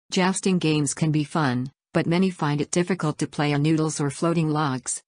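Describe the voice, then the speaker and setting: A woman's voice: deep voice, a little mellow, dry recording, clean studio sound. A woman reading an upbeat, scripted promotional voice-over as a website host. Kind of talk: scripted product narration.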